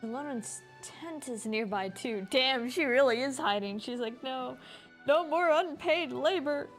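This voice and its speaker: A woman's voice: high voice, expressive, a little slow. A woman game streamer giving informal live commentary, often mimicking what the characters are thinking. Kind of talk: casual live gameplay commentary. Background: game music.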